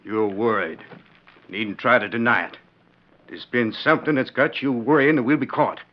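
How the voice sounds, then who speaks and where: A man's voice: mid-range voice, normal speed, close to an everyday voice. An actor playing a confident, cynical outlaw henchman in a 1940s radio western, talking in a blunt, folksy, dismissive way. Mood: cool, dismissive. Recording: muffled vintage 1940s radio recording.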